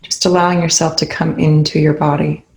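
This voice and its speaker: A meditation instructor leading a guided session in a soothing, gentle, unhurried way with long pauses. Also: medium pitch, level tone, echoey room, rough recording.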